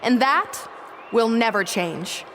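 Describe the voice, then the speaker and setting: A woman's voice: a little high, expressive, a bit bright, slight background noise, full character voice. An actress playing a gloating, vain female wrestling villain, boastful and condescending in a theatrical promo monologue. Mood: contemptuous, boastful. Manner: boastful, condescending, drawn-out emphasis.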